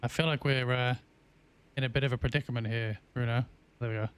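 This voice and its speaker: A man live-streaming a farming game, chatting casually with viewers and answering their messages, often pausing with ums and restarting his sentences. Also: medium pitch, fairly even intonation, smooth. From England. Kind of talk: casual live-stream chat while playing.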